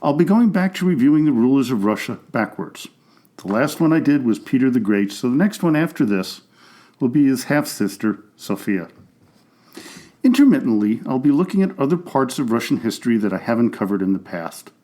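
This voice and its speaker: A man hosting a history podcast, reading a scripted narration in a steady, informative way. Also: medium pitch, fairly quick. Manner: reads steadily from a script with an even, informative delivery.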